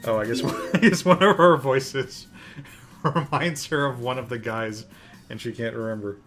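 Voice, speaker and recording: A young man with a Californian accent chats on a live stream, rambling and opinionated and swearing freely. His pitch is mid-range, and game music plays clearly behind him. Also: a little slow, fairly bright tone. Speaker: streamer co-host. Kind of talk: casual live-stream chat.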